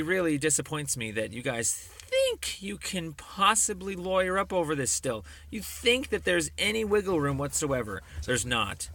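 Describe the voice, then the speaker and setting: A man speaks in a tense, bright voice with a noticeable creak. He lectures insistently, as if filing a formal complaint by voicemail. His delivery is emphatic, yet it stumbles and restarts as he rambles from point to point. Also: medium pitch.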